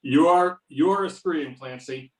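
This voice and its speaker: A man's voice: on the high side, takes it slowly. A man playing a sardonic, confident FBI agent in an amateur readers-theater performance, with pointed questioning. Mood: wry. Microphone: videoconference or conference call. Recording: roomy echo, distant microphone.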